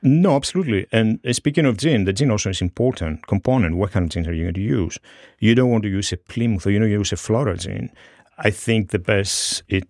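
Middle-aged Greek-born bartender guest, very deep gravelly voice, halting and full of fillers, dry close sound. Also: male voice, darkish tone, dry recording. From Greece. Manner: hesitant, many fillers and restarts. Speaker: guest bartender and bar co-owner. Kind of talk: casual interview talk.

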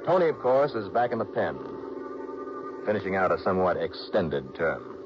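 An actor in a vintage radio drama playing a tough male investigator, calm, clipped and dry. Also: sits low, normal speed, over music. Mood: narrating. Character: tough, cool-headed male private investigator. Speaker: insurance investigator lead. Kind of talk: 1960s radio drama acting and narration. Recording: dull vintage radio broadcast, faint hiss.

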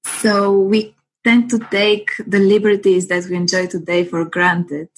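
A woman of about thirty from Bulgaria, speaking in a measured, articulate way. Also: mid-range voice, flat delivery, a little hiss or hum, poor audio. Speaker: panel guest. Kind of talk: considered discussion.

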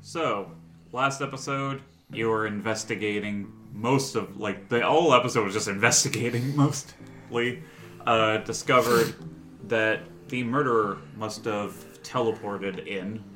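A man's voice: fairly low pitch, a bit bright. A man acting as game master, recapping the last session in a casual, chatty way.

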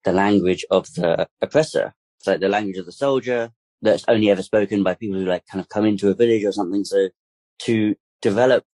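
Guy with a lowish voice rambling through an interview, dry close sound. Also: quick pace, a little mellow, dry recording. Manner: rambling, full of fillers and restarts. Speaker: guest campaigner. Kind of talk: casual interview chat.

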